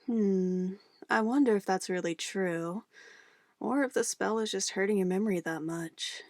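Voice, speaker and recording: A woman's voice: medium pitch, unhurried, no echo, studio quality, lightly acted. A woman playing an obsessive, controlling witch in an ASMR roleplay, a sweetly menacing lover who moves between coaxing endearments and firm commands. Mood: possessive, controlled.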